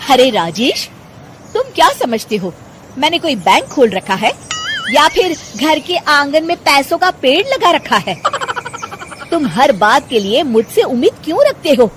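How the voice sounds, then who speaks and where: A woman voicing an exasperated, scolding sister-in-law in a cartoon story, with loud, indignant questions and noise behind her. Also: high voice, very animated, a little slow, voice pushed up. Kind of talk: cartoon moral story acting.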